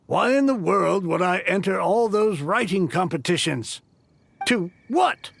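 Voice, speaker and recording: Flustered, high-pitched, creaky struggling writer. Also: male voice, a bit bright, exaggerated voice. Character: flustered, self-deprecating, fussy aspiring male novelist. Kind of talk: animated game voice acting.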